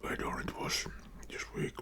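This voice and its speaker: A man from Finland with a Finnish accent whispering a casual personal story in ASMR style, in a halting, hushed manner. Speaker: ASMR video creator and support worker.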